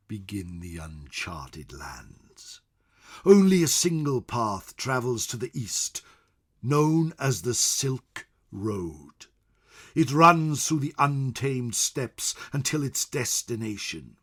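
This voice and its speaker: A man narrating fantasy lore as a venerable old scholar, grave and measured, with dramatic emphasis and a slightly husky voice. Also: medium pitch. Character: venerable old scholar recounting wary, wondrous tales of distant lands. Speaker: storyteller.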